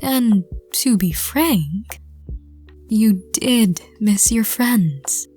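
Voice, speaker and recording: A woman reading scripted second-person story narration in a soft, gentle, hushed voice. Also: mid-range voice, expressive, drawn-out words, soft background music. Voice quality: soft, breathy. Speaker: narrator and audio roleplay reader.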